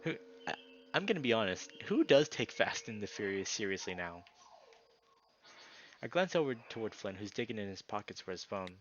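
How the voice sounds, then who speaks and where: A man narrating a visual novel aloud as a streamer, with informal, expressive asides. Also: lowish voice, normal speed, dull audio, slight background noise.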